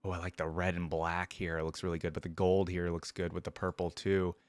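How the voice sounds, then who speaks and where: Male reaction host, lowish pitch, informal and chatty, repeats himself for emphasis, very dry recording with no room sound. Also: level tone, a little fast, no room sound. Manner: informal, slangy, addresses viewers as guys. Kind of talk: casual video game reaction commentary.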